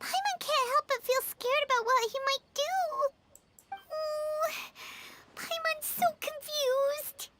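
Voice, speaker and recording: A squeaky fretful fairy sidekick. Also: female voice, slow, heavy background noise. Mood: worried. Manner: talks about herself in third person, whiny and jumpy. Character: tiny floating female fairy companion, excitable and fretful. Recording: game audio with loud music.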